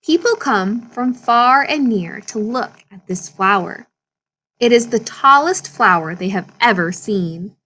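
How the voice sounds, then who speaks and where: A woman reading a children's picture book aloud in an expressive storytelling manner for young listeners. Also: a little high, measured pace, poor audio. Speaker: story reader.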